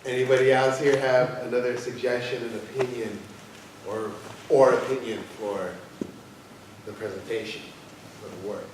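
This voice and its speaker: A man's voice: medium pitch, level tone, moderate pace, pauses often. A man moderating a discussion and asking an audience for opinions. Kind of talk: taking audience questions. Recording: echoey hall, distant mic, noisy.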